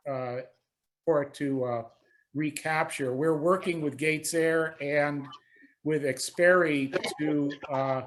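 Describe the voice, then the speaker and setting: Guy presenting tech stuff on a video call, slightly high voice, hesitant and halting. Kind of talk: technical presentation.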